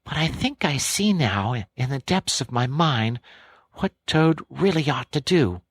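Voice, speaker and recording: A man's voice: medium pitch, lively intonation, a little slow, slightly rough, no echo, voice pushed up. A man voicing a thoughtful, eager male water rat in a storybook reading. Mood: earnest. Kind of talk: audiobook character voice.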